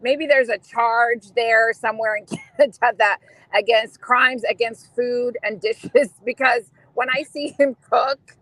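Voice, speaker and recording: A woman's voice: a little high, fairly even intonation, measured pace, fairly bright tone, no echo, low-quality recording. Woman on a group call, kinda hesitant, then cracking jokes. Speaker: call-in guest. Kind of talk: casual chat.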